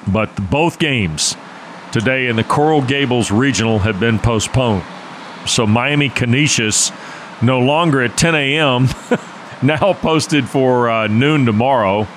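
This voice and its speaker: Male sports radio host, mid-pitched, joking and conversational, faint background noise. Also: a bit bright. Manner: joking, conversational, quick asides. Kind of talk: casual sports radio chat.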